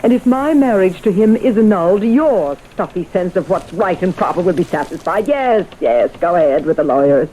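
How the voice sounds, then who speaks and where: A woman's voice: lowish voice, animated, normal speed, few pauses, mellow, close to an everyday voice. An actress playing a proud, wistful older widowed mother in a radio drama, with a dry, genteel, theatrical delivery. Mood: resigned, dry. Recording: muffled vintage radio broadcast, faint noise.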